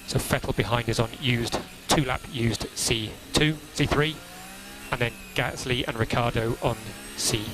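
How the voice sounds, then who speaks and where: A man working as a race engineer, giving calm, procedural briefings over team radio and repeating key instructions in a measured way. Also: medium pitch. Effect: team radio transmission. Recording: crackly noisy motor racing team radio.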